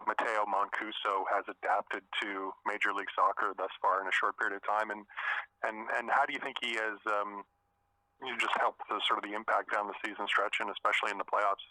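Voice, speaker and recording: A man puts a question as a sports reporter on a press call. His voice sits a little low, with a forceful, bright edge and a dry, close sound. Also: steady tone, fairly quick, no echo, rough recording. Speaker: sports journalist.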